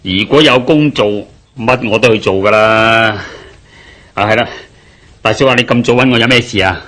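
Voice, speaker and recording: A man's voice: lowish voice, normal speed, a bit bright, lightly acted. A man playing a hard-up, placating young debtor in a radio drama, speaking apologetically in Hong Kong Cantonese. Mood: apologetic. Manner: apologetic, eager to agree.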